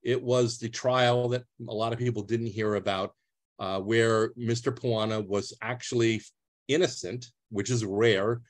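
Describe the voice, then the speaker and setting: A man's voice: medium pitch, steady tone, a little slow. A man, giving an earnest, plain-spoken talk over a video call as a retired public defender. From US East Coast.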